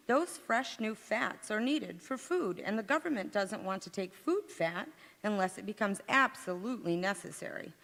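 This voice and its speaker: A woman playing an earnest wartime housewife in a 1940s-style radio advertisement. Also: medium pitch. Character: earnest wartime housewife urging women to save. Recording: echoey hall, long reverb.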